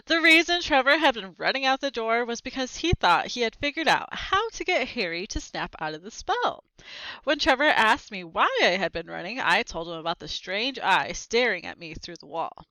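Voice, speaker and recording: A woman reading a children's book aloud, expressive and acting out the characters' lines with short asides. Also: fairly high pitch, moderate pace, dry recording, poor audio.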